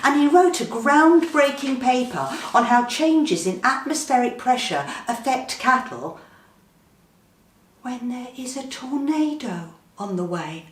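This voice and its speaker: A woman's voice: high-pitched, lively intonation, a little slow. A British woman reading a comic first-person story in an earnest, self-important, theatrical manner. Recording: roomy, slightly distant microphone.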